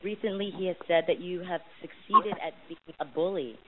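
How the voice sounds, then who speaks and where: A woman's voice: fairly low pitch, moderate pace. A woman reporter asking questions on a press conference call, with a hesitant, rambling delivery. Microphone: phone call. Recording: hissy, narrowband phone conference line.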